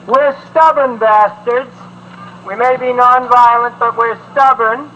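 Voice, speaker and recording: A man's voice: extremely high pitch, steady tone, slow pace, pushed and bright. A man insistently addresses the press as leader of a street protest march. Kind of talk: public statement to press at a protest. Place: outdoors on a city street. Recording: dull archival field recording, faint noise.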